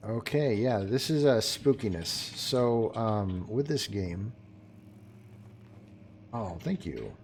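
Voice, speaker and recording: A man's voice: fairly low pitch, lively intonation, takes it slowly, pauses often, a little mellow, a little hiss or hum. A man streaming a game live, chatting casually and joking with his viewers as he plays. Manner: chatty, joking, talks to chat while playing. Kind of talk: casual live gameplay commentary.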